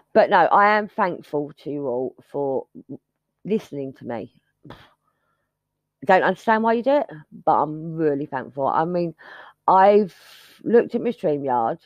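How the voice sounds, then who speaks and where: Lady rambling to her subscribers, low voice that swoops around a lot, kinda muffled dry mic. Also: a little mellow, no room sound. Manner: chatty, self-mocking, scattered, starts with sung lines. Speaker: YouTube channel host. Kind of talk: rambling casual chat to subscribers.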